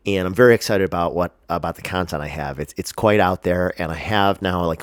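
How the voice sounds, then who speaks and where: Very deep, stuffy-nosed man vlogging. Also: no room sound, clean studio sound. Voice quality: stuffy, congested nose. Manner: rambling, hesitant, self-deprecating. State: congested, sniffling. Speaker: vlog host. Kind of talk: casual personal chat to camera. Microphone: close to the face.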